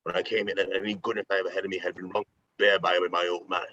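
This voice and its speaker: A man's voice: low voice, little pitch movement, fast, sharp tone, dull audio, a little hiss or hum. A man acting a bitter, foul-mouthed jailed hitman and reluctant father in an amateur script read, combative and blunt. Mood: bitter, resigned.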